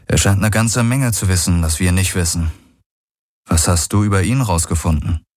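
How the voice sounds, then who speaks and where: A man's voice: deep voice, moderate pace, darkish tone, dry recording. A man playing a weary, sardonic male space adventurer in an audiobook, with a blunt, dry manner. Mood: pondering. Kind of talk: dramatised audiobook dialogue.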